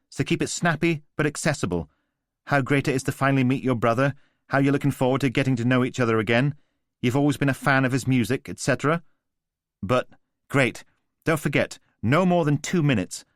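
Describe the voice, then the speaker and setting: A man voicing a brisk, pushy young female publicist with an Estuary accent. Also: medium pitch, dry recording, clean studio sound, pitched above the performer's own voice, lightly acted. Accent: Estuary English. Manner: brisk and businesslike.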